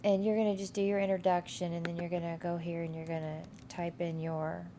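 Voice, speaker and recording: A woman teacher with a cold talks through her course materials in a low, flat, gravelly voice with a stuffy nose. Her tone is dark and mellow, and she rambles with plenty of ums and restarts. A faint hiss of noise sits under the recording. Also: fairly quick. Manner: rambling with many fillers and restarts. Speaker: instructor. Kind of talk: casual screen-share course walkthrough.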